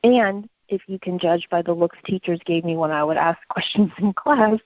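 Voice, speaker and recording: A woman with a slightly low voice co-hosts a conversational podcast. She sounds inquisitive and articulate, stressing her points, on a very dry and somewhat dull recording. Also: normal speed, dry recording. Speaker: podcast co-host.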